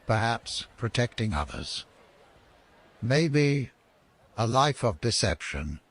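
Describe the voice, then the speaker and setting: An actor voicing a grave, mysterious cloaked seeker in a fantasy audio game, solemn and portentous, with a gravelly, husky voice. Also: male voice, medium pitch, sing-song, slow, fairly bright tone, heavily acted. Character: cloaked mystical seeker, a grave old-sounding male stranger.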